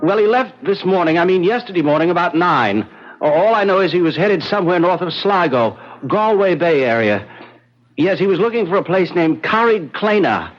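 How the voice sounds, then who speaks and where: High-voiced, flustered Irish husband. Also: male voice, expressive. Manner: flustered, halting, emotional. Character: excitable, anxious Irish husband, tender toward his wife. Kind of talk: old-time radio drama acting. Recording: dull vintage radio recording.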